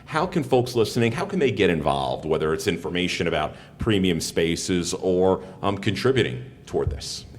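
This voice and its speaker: A man's voice: big pitch swings, moderate pace, hardly any pauses. A man interviewing on a podcast as a sports broadcaster, with a polished, promotional delivery. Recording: rumbling background noise, long reverberant room.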